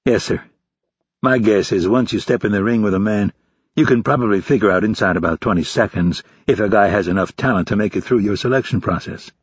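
A male narrator plays an unflinching recruit in his early twenties in an audiobook. He is respectful and matter-of-fact, with a low, husky voice. The recording is close and dry. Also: brisk, dry recording, muffled recording. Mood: confident, composed. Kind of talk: audiobook character voice.

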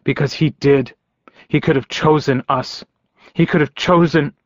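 A man's voice: medium pitch, fairly even intonation, normal speed, no room sound, dull audio, natural voice. A man voicing a hurt, stubborn young man in an audiobook, curt, grumbling and sardonic. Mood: bitter anger.

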